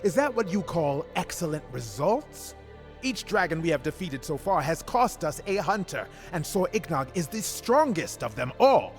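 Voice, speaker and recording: Very high-pitched indignant councillor. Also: male voice, sing-song, normal speed, soft background music. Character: indignant, sceptical male council member. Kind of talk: dramatic fantasy game cutscene acting.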